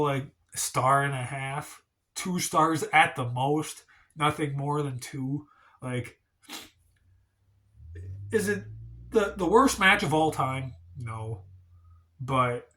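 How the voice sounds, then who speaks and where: Man, stuffy from a cold, bright tone, slightly high voice, rambling casual review. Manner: slow, rambling, hedging. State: congested from a cold. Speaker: wrestling channel host.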